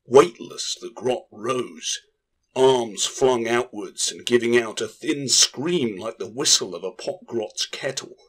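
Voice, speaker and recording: A man's voice: mid-range voice, slow, fairly bright tone, slightly rough. A man narrating a fantasy audiobook in a dramatic, scripted storytelling style.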